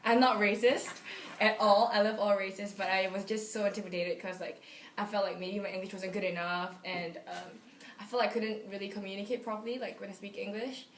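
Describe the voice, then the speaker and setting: A woman's voice: medium pitch, steady tone, brisk, slight background noise. A woman in her twenties from Singapore, a student speaking candidly with many likes and ums. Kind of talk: casual testimonial. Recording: echoey room.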